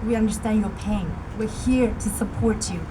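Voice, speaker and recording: A woman from Taiwan giving a formal, emphatic speech at an outdoor solidarity rally. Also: mid-range voice. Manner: measured and emphatic, rising to rallying calls. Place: outdoor public gathering. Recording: noisy outdoor recording with passing traffic.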